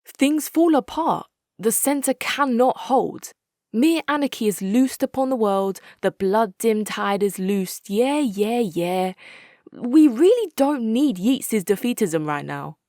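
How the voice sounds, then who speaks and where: An actress playing a hard-nosed, articulate female military commander in a strategy game, speaking in a measured, wry, authoritative way. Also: a little high, expressive, warm tone, dry recording, studio quality. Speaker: video game faction leader. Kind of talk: dramatic scripted game quotes.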